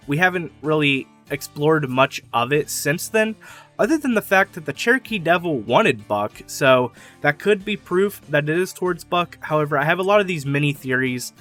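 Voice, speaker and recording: A young man hosting his own channel, rambling enthusiastically through fan theories in casual solo talk, laughing now and then and getting a little hoarse. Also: on the high side, expressive, normal speed, fairly bright tone, faint music behind. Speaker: YouTube theory channel host.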